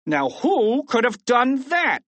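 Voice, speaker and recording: Narrator's blustering, drawn-out angry school principal. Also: medium pitch, big pitch swings, big-room sound, pitched above the performer's own voice. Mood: exasperated. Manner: loud, drawn-out, indignant.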